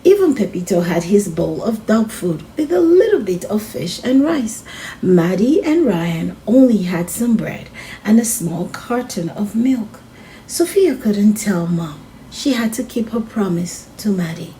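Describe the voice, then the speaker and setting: Woman narrator for kids' read-aloud, expressive with swooping pitch and mellow timbre, some background noise. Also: unhurried, low-quality recording. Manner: expressive, addresses children as friends. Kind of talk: children's storybook read-aloud.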